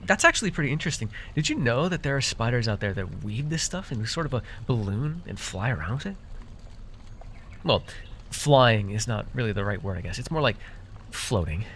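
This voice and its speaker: A man's voice: mid-range voice, very animated, moderate pace, fairly bright tone, slight background noise. A man playing a chatty, wry and friendly fae potion brewer in a fantasy audio roleplay, talking to the listener with hesitant asides. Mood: explanatory, focused.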